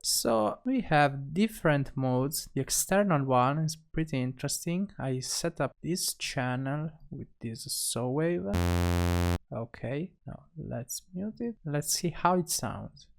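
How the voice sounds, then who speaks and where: A man narrating a software tutorial as an instructor, speaking haltingly and pausing between short phrases. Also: fairly high pitch, animated, creaky, very noisy.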